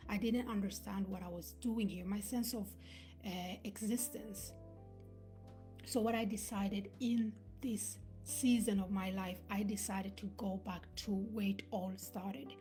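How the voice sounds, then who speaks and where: A woman's voice: medium pitch, fairly even intonation, unhurried, dark tone, faint music behind. A woman in her thirties, born in Uganda, giving an earnest motivational talk on a live stream and dropping to a whisper for emphasis. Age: 30s. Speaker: motivational speaker.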